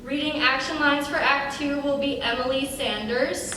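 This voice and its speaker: High-voiced young woman in an echoey hall. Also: steady tone, moderate pace. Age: young adult. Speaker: showrunner introducing a cast at a table read. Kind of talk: live event introduction to an audience. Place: large echoey room, live event. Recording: noisy, very echoey hall, distant mic.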